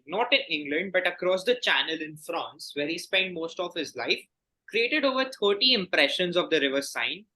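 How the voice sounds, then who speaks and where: Bright, higher-voiced male quizmaster. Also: poor audio. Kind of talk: reading quiz questions aloud.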